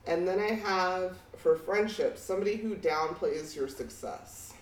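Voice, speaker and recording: A woman co-hosting a casual podcast, speaking bluntly and emphatically with plenty of swearing, in a fairly deep voice for a woman. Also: unhurried, reverberant, distant mic, low-quality recording. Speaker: podcast co-host.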